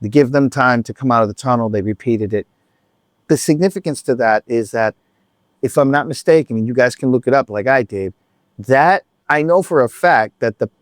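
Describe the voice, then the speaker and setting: A man's voice: medium pitch, no echo. A man telling a concert story in a casual, rambling vlog chat, full of restarts and asides. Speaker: vlogger.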